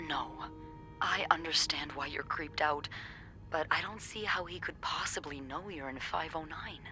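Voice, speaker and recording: Low-voiced, chatty young receptionist. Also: female voice, moderate pace, soft background music. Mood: intrigued, helpful. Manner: chatty, playful slang, expressive. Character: chatty, wry young female hotel receptionist. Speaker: hotel receptionist character. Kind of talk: video game dialogue acting.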